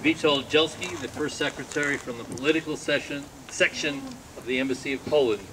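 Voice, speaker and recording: A man's voice: fairly high pitch, brisk. A man acting as master of ceremonies, formally introducing speakers at an outdoor event. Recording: far mic, loud crowd and outdoor noise.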